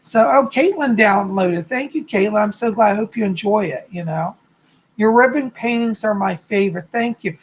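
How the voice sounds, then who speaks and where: A man with a hoarse, slightly raspy voice, chatting casually from home as a psychic hosting his live stream and greeting viewers effusively. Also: sits high, moderate pace, dull audio. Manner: chatty, effusive, frequent "okay?" and "you know".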